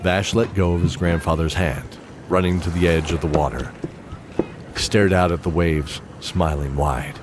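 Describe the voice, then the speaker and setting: A man's voice: low-pitched, fairly even intonation, measured pace, noisy background. A man giving dramatic scripted narration of a fantasy adventure. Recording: loud music and effects under voice. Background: loud music.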